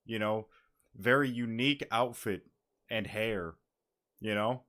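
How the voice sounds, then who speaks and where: A man giving casual, relaxed reaction commentary while watching a game scene. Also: on the low side. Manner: relaxed, quick remarks.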